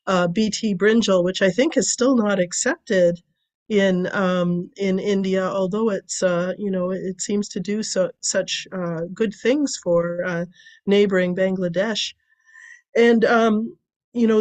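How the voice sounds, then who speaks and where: A woman with a raspy voice, hoarse from a cold, giving a loose academic lecture over a video call, with many ums and restarts. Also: medium pitch, darkish tone. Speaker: guest lecturer.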